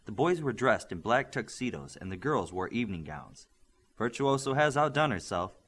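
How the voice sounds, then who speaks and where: A man narrating an audiobook in an expressive, steady storytelling manner. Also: on the low side, slightly rough. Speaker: audiobook reader. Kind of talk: scripted narration.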